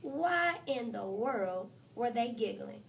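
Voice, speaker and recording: A woman teacher reading a picture book aloud to her class, with lively, expressive storytelling. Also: takes it slowly, creaky, recorded from a distance, a little hiss or hum. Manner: expressive storytelling, voices quotes higher and louder.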